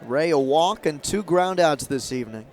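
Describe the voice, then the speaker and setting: A man doing live radio play-by-play of a baseball game as the announcer, in measured calls with emphasis on key moments. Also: on the high side, expressive, darkish tone. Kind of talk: live radio sports commentary. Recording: crowd and ambient stadium noise behind. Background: ballpark crowd murmur.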